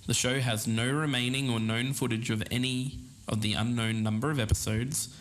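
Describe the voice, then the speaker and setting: A man's voice: mid-range voice, fairly even intonation, normal speed, fairly bright tone, low-quality recording. A man co-hosting a podcast, moving between scripted narration and casual chat. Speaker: podcast co-host. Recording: clear background noise, recorded on location.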